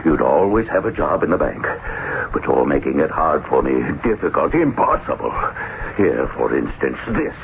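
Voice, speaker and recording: An actor playing a domineering, exasperated banker, gruff and sarcastic, in old-time radio drama style. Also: male voice, very deep voice, very animated, moderate pace, full character voice. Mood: stern, exasperated. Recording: muffled, lightly noisy radio drama recording.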